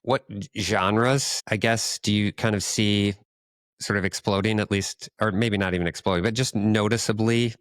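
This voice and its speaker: A man's voice: fairly low pitch, fairly even intonation, moderate pace, fairly bright tone, no echo. A man co-hosting a podcast, asking casual questions in a roundabout, hedging way. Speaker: podcast co-host.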